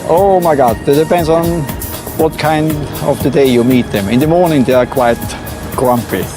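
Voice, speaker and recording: A man's voice: medium pitch, moderate pace, over music. A man being interviewed on the street, speaking in a wry, joking way.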